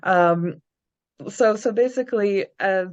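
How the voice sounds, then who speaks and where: A woman's voice: medium pitch, slow, muffled recording. A young adult woman co-hosting a fan podcast in a casual, chatty style. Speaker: podcast co-host.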